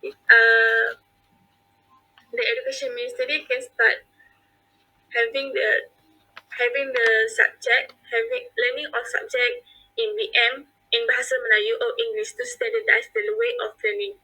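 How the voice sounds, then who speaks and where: A woman's voice: fairly high pitch, animated, unhurried, pushed and bright, poor audio. A young Malaysian woman presenting prepared points as a forum panelist, with hesitant fillers and restarts.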